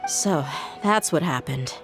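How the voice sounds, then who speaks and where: A woman's voice: low-pitched, expressive, slow, music in the background, exaggerated voice. A woman playing a frank, resolute young female mercenary in a video game, earnest, direct and polite. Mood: concerned. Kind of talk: dramatic video game voice acting.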